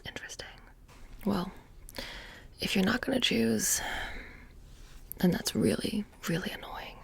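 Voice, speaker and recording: Woman playing a soft, half-whispering witch shopkeeper. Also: medium pitch, a little slow, exaggerated voice. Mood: pushy, annoyed. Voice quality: breathy, often slipping into whisper. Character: playful, menacing, petty witch shopkeeper selling haunted trinkets. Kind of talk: soft-spoken ASMR roleplay.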